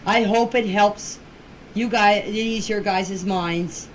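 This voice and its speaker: A woman's voice: mid-range voice, steady tone, slow, slight background noise, low-quality recording. A woman in her fifties giving informal personal voice messages in a plainspoken, earnest, emphatic way, repeating herself for stress. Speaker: community member speaking about a case. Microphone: phone voice message.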